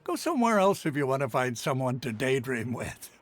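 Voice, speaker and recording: A man's voice: medium pitch, sing-song, brisk, close to an everyday voice. A man voicing a breezy, blunt market stall vendor in a video game, with a salesman's patter. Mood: dismissive. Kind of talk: video game character dialogue.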